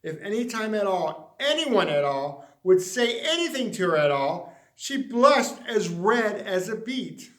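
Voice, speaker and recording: Guy reading a kids storybook, highish voice, dramatic drawn out words, roomy echo. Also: big pitch swings, unhurried, few pauses, fairly bright tone. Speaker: story reader. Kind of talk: expressive children's storybook narration.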